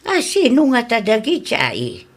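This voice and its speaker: A woman with an Ilocano Filipino accent playing a nosy, suspicious older village mother in a comedy radio drama, with a husky, probing delivery. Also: a little high, huge pitch swings, measured pace, full character voice.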